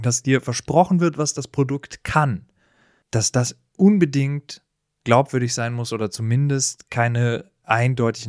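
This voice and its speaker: A man's voice: medium pitch, darkish tone, dry recording. Man, casual explainer, close dry sound. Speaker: podcast co-host. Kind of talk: casual, reflective discussion.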